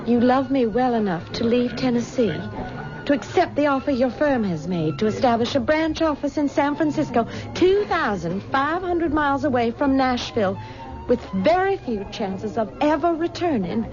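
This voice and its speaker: A woman's voice: high-pitched, lively intonation, moderate pace, over music. An actress playing a spirited young woman in love, earnest and firm, on a lo-fi 1970s radio drama recording. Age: young adult.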